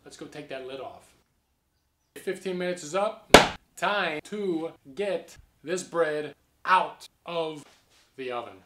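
A man's voice: on the high side, takes it slowly, background noise. An American man in his forties giving a playful, folksy, casual cooking demonstration in a home kitchen. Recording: roomy kitchen echo.

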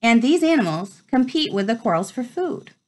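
A woman in her thirties reading a children's nature book aloud at home, with measured storytime phrasing. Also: a little high, sing-song, a little mellow. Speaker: read-aloud presenter. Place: small furnished room at home, indoors.

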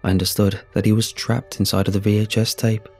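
A man narrating a scripted first-person horror story in a measured, solemn, husky voice. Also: on the low side, little pitch movement, a little mellow. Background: music.

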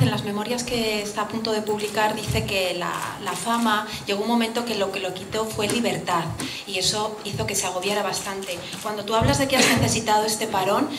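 A female journalist asking a brisk, businesslike question at a press conference. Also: medium pitch. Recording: echoey hall with clear room noise.

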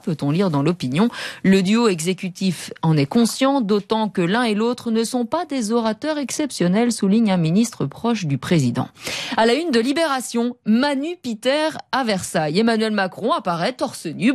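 A woman's voice: mid-range voice, a little mellow, no echo. A middle-aged woman, reading a scripted press review as a radio presenter at a close studio microphone. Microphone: studio desk microphone, close.

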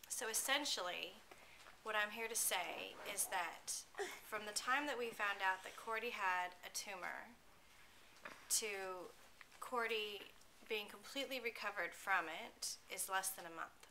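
A woman's voice: mid-range voice, measured pace. A woman in her thirties giving an earnest, conversational testimonial with small restarts, filmed at home on a camera close to her face. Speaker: pet owner giving a testimonial. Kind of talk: casual spoken testimonial. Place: small room at home, indoors. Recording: noisy home video recording. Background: steady background noise.

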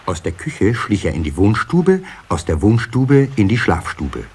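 A man narrating a children's fairy tale as a measured, expressive storyteller in an audio play. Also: on the low side, breathy edge, exaggerated voice. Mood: storytelling.

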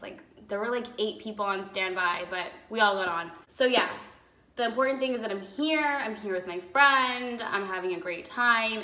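A young woman in her twenties chatting to camera in a vlog, rambling with lots of fillers, her voice slightly raspy from a cough, filmed on a phone in a living room. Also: mid-range voice, sharp tone. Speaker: vlogger. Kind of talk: casual chat to camera. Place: apartment living room, indoors. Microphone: phone camera in front, seated. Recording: echoey room on a phone mic.

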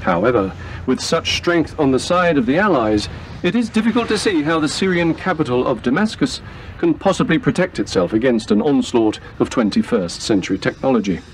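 Male news reporter acted, earnest and lively in pitch, over faint vehicle-like noise. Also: brisk, rough recording. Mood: grave, urgent. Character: earnest male war correspondent delivering a news bulletin. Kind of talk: news report in a radio play.

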